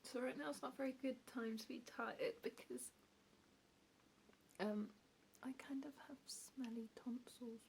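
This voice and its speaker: A young woman whispering softly and shyly in an ASMR roleplay, speaking close to the mic. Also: on the high side, fairly even intonation, a little slow, creaky, slight background noise. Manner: soft whispering, apologetic and shy. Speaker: ASMR creator.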